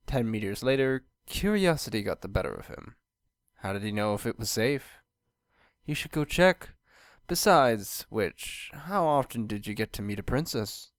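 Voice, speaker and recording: A storyteller reads scripted fiction aloud with very animated intonation. The voice sits at a middling pitch and is recorded dry and close, with no room sound. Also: moderate pace, no echo. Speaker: fan fiction reader, narrator.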